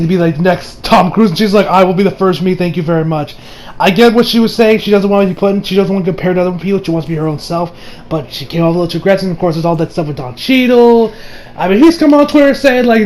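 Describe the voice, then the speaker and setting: Young male reviewer, high voice, casual and rambling delivery, music underneath. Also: darkish tone, low-quality recording. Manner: rambling, repeats and rephrases himself. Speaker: movie reviewer. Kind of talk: casual spoken review over gameplay.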